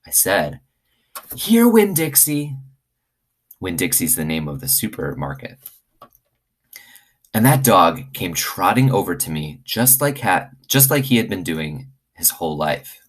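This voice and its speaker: A man reading aloud to children in an expressive storytelling style. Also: extremely low pitch, moderate pace. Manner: expressive, acts out dialogue. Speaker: teacher reading aloud to students.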